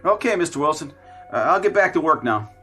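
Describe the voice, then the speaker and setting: A man's voice: fairly high pitch, sing-song, fairly quick, sharp tone, faint music behind. A man playing an easygoing, polite young soda fountain worker with short, folksy replies in a radio drama. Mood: apologetic.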